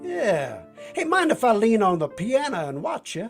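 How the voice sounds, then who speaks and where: Folksy, swooping troublemaker. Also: male voice, sits high, huge pitch swings, moderate pace, creaky, soft background music, exaggerated voice. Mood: folksy, sly. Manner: folksy, drawling, needling. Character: cocky, folksy, troublemaking drawling adventurer, male sidekick. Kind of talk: 1940s radio acting.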